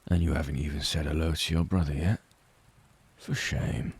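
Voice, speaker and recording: A man reading a story, voicing a raspy, menacing middle-aged father who taunts his son in a cold, sneering way. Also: rumbling low, steady tone, moderate pace, full character voice. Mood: taunting. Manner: taunting, sneering, drops to whispers.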